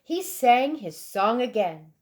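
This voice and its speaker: High-voiced woman reading slowly to children. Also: very animated. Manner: deliberate, dramatic emphasis for young listeners. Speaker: storybook reader. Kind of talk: expressive children's read-aloud narration.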